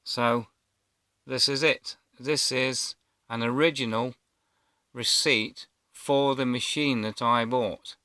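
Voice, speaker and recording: An Englishman in his fifties talks casually, as if to one viewer. His voice sits at an average male pitch and is recorded dry, with little room sound. He rambles a little and hesitates often as he tells his story. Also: steady tone, slow pace, bright, forceful tone, clear, resonant tone, no room sound. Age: 50s. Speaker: vlogger. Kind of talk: casual personal storytelling to camera.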